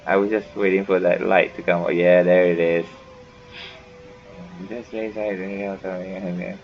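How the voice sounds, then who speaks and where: A man giving casual playthrough commentary with a gravelly voice, laughing easily, muttering to himself and swearing. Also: low-pitched, flat delivery, normal speed, faint music behind. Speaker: gamer playing a horror visual novel. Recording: muffled microphone with heavy background noise.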